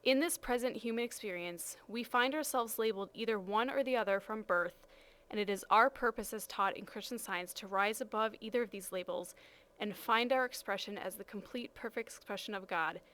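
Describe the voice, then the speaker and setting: A woman's voice: medium pitch, brisk, fairly bright tone. A woman reading a prepared statement aloud.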